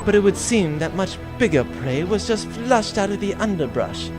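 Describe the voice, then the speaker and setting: A man's voice: high-pitched, sing-song, moderate pace, over loud music. Man playing a pompous, swooping aristocratic bounty hunter. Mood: grandly boastful. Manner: pompous, florid formal phrasing. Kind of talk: audio comedy adventure acting.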